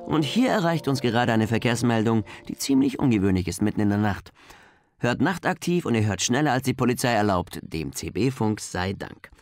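An actor playing a chummy, flippant late-night radio host in a radio drama. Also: male voice, mid-range voice, expressive, moderate pace, natural voice. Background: music.

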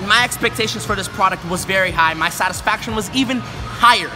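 Male fitness vlogger, 20s, high-pitched and animated, casual joking delivery over loud music. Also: brisk. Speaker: fitness vlogger reviewing gym gear. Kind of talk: casual vlog talk to camera. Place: large busy commercial gym. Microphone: handheld camera at arm's length.

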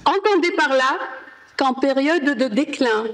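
A woman presenting an academic paper at a conference, reading in a slow, measured way with careful pauses. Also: high voice, animated, low-quality recording. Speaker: conference speaker. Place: conference hall.

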